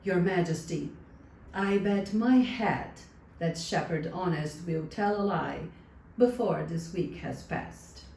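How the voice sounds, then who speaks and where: A woman's voice: lowish voice, slow pace, creaky, darkish tone. A woman voicing a false, jealous male royal advisor who plots in formal words in a folktale. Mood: scheming, anxious. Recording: distant, roomy sound with faint noise.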